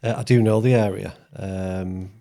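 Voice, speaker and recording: A man's voice: low voice, fairly even intonation, normal speed, gravelly, dark tone. A man from Yorkshire hosting a podcast interview, chatting casually and hesitantly with many ums and restarts. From Yorkshire, England. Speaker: podcast host.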